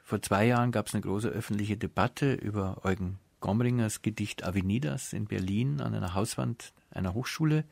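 A man's voice: fairly low pitch, normal speed, creaky, few pauses, a little mellow, no echo. A man hosting a radio interview, putting prepared questions and presenting in an orderly way.

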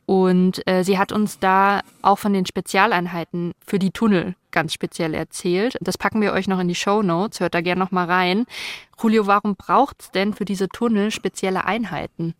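Woman hosting a podcast, mid pitch, informal interview chat, very dry sound. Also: steady tone, normal speed, no echo. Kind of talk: conversational interview, informal address.

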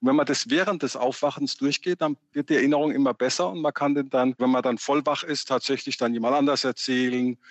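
A man's voice: medium pitch, steady tone, fast, few pauses, no echo. Man explaining simply, close dry sound. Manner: explains step by step with simple everyday examples. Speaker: expert guest, dream researcher. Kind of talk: explanatory interview answers in plain words.

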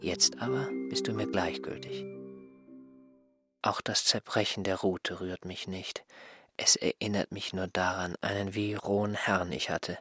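An actor in a literary radio drama playing an insolent former servant, subdued and coolly dismissive. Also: male voice, sits low, steady tone, a little slow, fairly bright tone, slightly rough, heavily acted. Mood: indifferent, resentful. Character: insolent, indifferent male former servant, newly independent. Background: prominent music.

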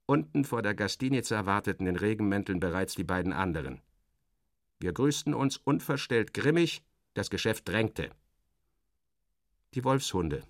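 A man giving a dramatic first-person literary narration as in a radio play, theatrical and sardonic, with expressive shifts of emphasis. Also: fairly low pitch, moderate pace, pauses often, no room sound, clean studio sound.

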